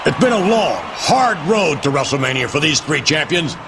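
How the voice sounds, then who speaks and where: An actor voicing an older male wrestling chairman in a video game cutscene, announcing the winner in a booming, commanding way. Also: expressive, normal speed, noisy background. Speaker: company chairman presenting the winner.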